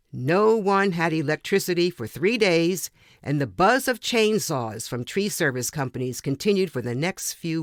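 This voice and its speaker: Female story podcast reader, fairly low-voiced, measured and dramatic, recorded dry without room sound. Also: no room sound. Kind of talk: expressive reading of a first-person story.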